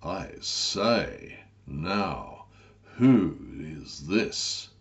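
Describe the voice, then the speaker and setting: A man voicing an arrogant, lecherous Georgian duke, deep and pompous, with oily drawn-out phrasing in a storybook. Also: very animated, heavily acted. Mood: smug.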